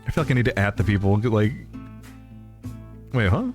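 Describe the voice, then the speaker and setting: A man's voice: on the low side, normal speed, faint music behind. A man hosting a livestream, reading a visual novel aloud and chatting casually about it. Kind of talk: narration and casual livestream chat.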